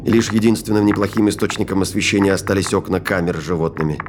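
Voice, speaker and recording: A man's voice: low-pitched, quick pace, noisy background. A man reading dramatic scripted narration as the narrator of an audio drama. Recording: loud music behind. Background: loud music and effects.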